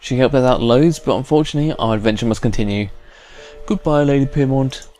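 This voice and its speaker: A man's voice: medium pitch, fast talker, darkish tone. Chatty man with faint music behind. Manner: chatty, hums to self. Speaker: gamer, let's play host. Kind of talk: casual gameplay commentary.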